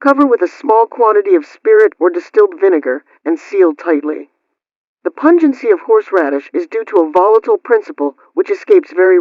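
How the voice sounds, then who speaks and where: A woman's voice: lowish voice, natural voice. The sound is a dull, narrow vintage radio broadcast with very little room echo. A woman plays a prim homemaking hostess of the 1920s, reading scripted household advice. Her delivery is formal and instructional. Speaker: host. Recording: dull, band-limited old-radio sound.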